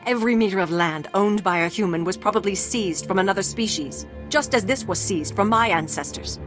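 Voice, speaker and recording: A woman's voice: medium pitch, a little fast, over music, lightly acted. An actress playing a proud, aggrieved refugee leader in a video game, pleading formally and earnestly for her people. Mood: bitter, resolute. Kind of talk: dramatic scripted video game dialogue.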